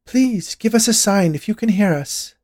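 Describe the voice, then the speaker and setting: A man's voice: sits high, expressive, moderate pace, a little mellow, dry recording, studio quality, voice pushed up. A man voicing a plump, unperturbed woman spirit medium with a lofty, knowing, explanatory manner in a storybook reading. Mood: solemn, summoning.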